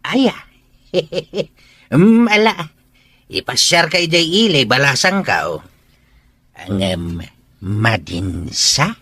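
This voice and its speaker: Guy acting as old filipino uncle in a radio drama, teasing, really bright voice, slow drawl. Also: medium pitch, very animated, husky, exaggerated voice. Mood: folksy teasing. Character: older village uncle, folksy and teasing with a young woman.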